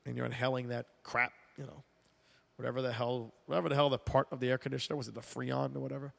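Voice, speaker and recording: A man hosting a casual sports talk show, sounding congested, rambling and animated as he mocks sports fans. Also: medium pitch, fast, vocal fry, in a large hall. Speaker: podcast host. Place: air-conditioned room at home. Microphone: headphones with mic.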